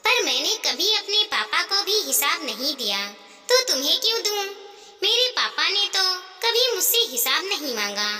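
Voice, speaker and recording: A woman's voice: very high voice, very animated, normal speed, bright, forceful tone, recorded from a distance, exaggerated voice. A woman voicing a spoiled, stubborn young wife in a moral story, complaining and defiant. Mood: indignant. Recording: long hall-like reverb.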